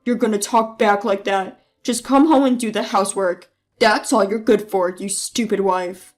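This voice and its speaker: A woman voicing an angry, selfish husband who yells and bullies, then begs. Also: mid-range voice, moderate pace, faint music behind, voice pushed down, lightly acted. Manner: yelling, bullying, then pleading.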